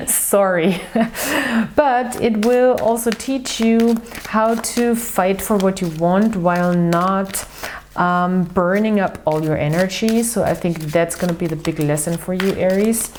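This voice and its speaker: A woman from Austria with an Austrian German accent, whose first language is German, giving a relaxed, chatty tarot reading to camera with frequent fillers. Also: on the low side, slight background noise. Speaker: tarot reader.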